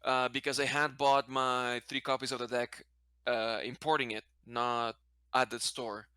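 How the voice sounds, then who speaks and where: A man's voice: mid-range voice, flat delivery, measured pace, sharp tone, smooth, dry recording. A man from Brazil whose first language is Portuguese, answering as a guest in a casual interview, hesitant and often correcting himself. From Brazil, later a Spanish-speaking country.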